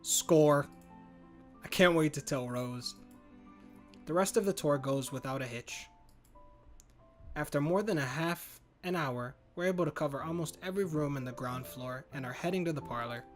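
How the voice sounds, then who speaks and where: A man reading a horror visual novel aloud in first person, earnest and stumbling now and then over words. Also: medium pitch. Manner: earnest, muttering inner monologue with slips. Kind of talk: first-person story narration.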